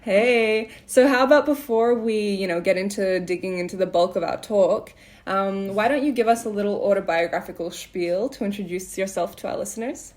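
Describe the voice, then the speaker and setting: A woman hosts an interview podcast. Her voice sits at an average pitch for a woman. She moves between prepared introduction and casual conversation. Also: moderate pace, a little mellow. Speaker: podcast host. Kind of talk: scripted introduction, then casual interview chat.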